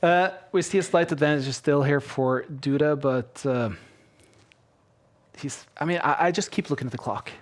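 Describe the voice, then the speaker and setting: Man, thoughtful chess commentator. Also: on the high side. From Norway. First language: Norwegian. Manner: thoughtful, slightly halting with repeated words.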